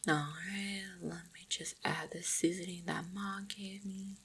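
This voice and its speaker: Casting: woman as a gentle orc huntress and devoted fiancée for fantasy roleplay audio, low-pitched with gravelly fry, soft and reassuring. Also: steady tone, unhurried, a little hiss or hum. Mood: musing. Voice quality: husky, creaky low voice. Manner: tender, reassuring, softly spoken. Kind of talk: roleplay audio drama, intimate monologue to the listener.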